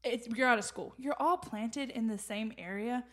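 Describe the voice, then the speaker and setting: A young woman with a fairly high voice chats casually on a faith podcast. She rambles, circles back and fills gaps with "like" and "um" as she talks. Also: moderate pace. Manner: rambling, many fillers and restarts. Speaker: podcast co-host.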